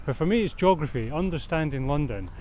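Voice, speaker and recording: A middle-aged Scottish man with a slightly high voice, interviewed on a walk outdoors. He explains things conversationally, with some urban traffic ambience behind him. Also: animated, fairly quick, darkish tone. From Scotland. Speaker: interview guest, walk organiser. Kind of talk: conversational interview while walking. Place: outdoors in a city park.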